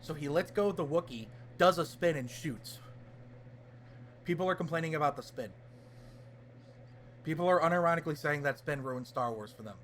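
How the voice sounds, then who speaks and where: Guy with a highish voice chatting on a fan podcast, hyped up and sweary, lots of hyperbole. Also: normal speed, pauses often, a little hiss or hum. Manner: excitable, hyperbolic fan talk with frequent swearing. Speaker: podcast co-host. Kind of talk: casual pop-culture podcast discussion.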